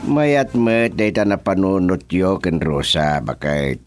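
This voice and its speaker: A man's voice: low-pitched, slow, over loud music. A man with an Ilocano accent playing an agreeable middle-aged family man in a radio comedy drama, with a folksy, teasing way of talking.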